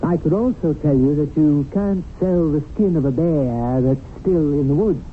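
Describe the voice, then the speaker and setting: A man's voice: a little high, moderate pace, phone-quality sound, a little hiss or hum, close to an everyday voice. A man playing an earnest, worried guardian spirit in a 1970s radio drama, rueful and confiding. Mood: defensive. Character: earnest, worried male guardian spirit telling his story. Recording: thin 1970s radio recording with music. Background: music.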